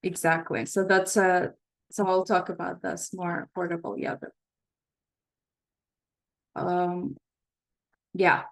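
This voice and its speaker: A woman's voice: fairly low pitch, little pitch movement, slow pace, vocal fry, long pauses, poor audio. Russian-accented woman musing to a workshop. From Belarus. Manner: thoughtful, restarts often, interacts with participants. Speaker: therapist leading a workshop. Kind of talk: casual workshop talk.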